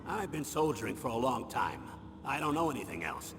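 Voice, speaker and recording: An actor playing an aging retired army colonel in a video game, firm but fatherly, earnest and measured in his persuasion. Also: mid-range voice, sing-song, over music, close to an everyday voice. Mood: persuasive, earnest. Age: older. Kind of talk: dramatic video game voice acting. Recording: hollow reverberant audio with clear background noise.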